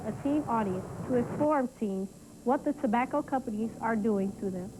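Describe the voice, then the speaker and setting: A woman's voice: on the high side, moderate pace, heavy background noise. A woman reading scripted narration for a television feature. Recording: loud music under narration. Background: loud music.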